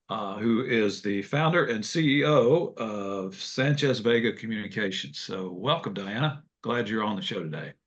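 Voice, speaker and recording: A male podcast host welcomes listeners in a friendly but halting way, leaning on lots of filler words. His voice is somewhat low, and the recording sounds dull and lo-fi. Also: fairly bright tone. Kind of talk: podcast interview.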